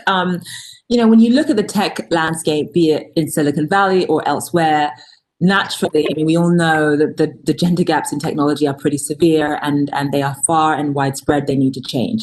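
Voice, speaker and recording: A woman's voice: deep voice, slight background noise. A woman moderating a panel discussion over a video call, asking guests questions in a friendly way.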